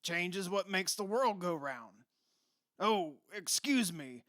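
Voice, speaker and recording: A man's voice: sits high, animated, slow pace, a bit bright, no echo, studio quality, exaggerated voice. A man acting in a radio play as a brash, blunt, middle-aged rich father who is impatient and demanding. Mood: brash, indignant. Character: brash wealthy father who bought an old mansion.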